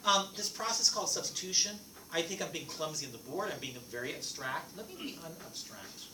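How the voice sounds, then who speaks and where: A man whose first language is French teaches a math lecture, and his pitch swings up and down as he quizzes the class. He is recorded from a distance in an echoey classroom. Steady noise runs under his voice. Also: fairly quick. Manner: lively, playful teacher asking the class questions. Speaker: math instructor.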